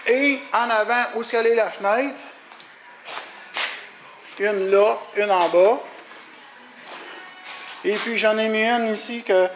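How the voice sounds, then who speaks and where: A man with a rather high voice and a Quebec French accent talks through an installation informally. He explains things in a colloquial, hands-on way, over faint background noise. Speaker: CB radio installer presenting his work. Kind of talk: casual product walkthrough.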